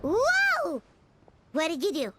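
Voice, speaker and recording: A woman voicing a small, excitable, blunt fairy-like girl companion in a video game, squeaky and exclamatory. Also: slow, heavily acted. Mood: baffled. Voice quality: squeaky, childlike. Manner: refers to self in the third person, exclamatory.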